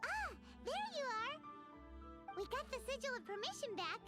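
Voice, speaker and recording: Tiny fairy sidekick for an anime game: childlike, extremely high, swooping delivery, music underneath. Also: female voice, sing-song, measured pace, full character voice. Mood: chirpy, curious. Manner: childlike, refers to self in third person. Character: tiny childlike talking fairy companion, chirpy and curious.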